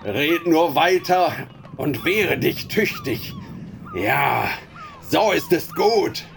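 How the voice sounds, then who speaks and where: An actor playing a cruel, greedy ship captain and slave trader in a radio play, sneering and menacing. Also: male voice, fairly high pitch, wildly swooping pitch, drawn-out words, gravelly, music in the background, exaggerated voice.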